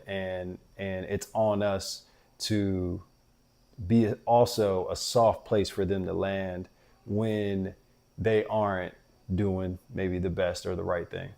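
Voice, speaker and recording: A man with a deep voice, hosting a show and talking earnestly to fathers in a reflective, heartfelt monologue. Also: little pitch movement, slow, dark tone. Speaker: podcast host.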